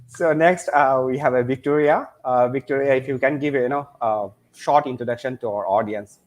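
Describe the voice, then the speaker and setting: A man's voice: medium pitch, normal speed. A man hosting an online panel, full of fillers like "uh" and "you know", now and then switching into Bengali. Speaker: host.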